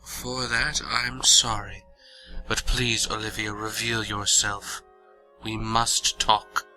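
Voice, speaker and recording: A performer voicing an ancient, proud male vampire lord in a fantasy audio drama, measured and formal, with theatrical mock humility. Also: medium pitch, little pitch movement, pushed and bright, faint music behind, voice pushed down, rough recording.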